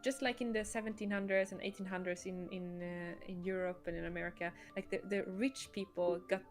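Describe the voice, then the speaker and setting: A woman's voice: medium pitch, steady tone, brisk, faint music behind. A woman co-hosting a casual livestream as a game creator, thinking aloud in a hesitant way.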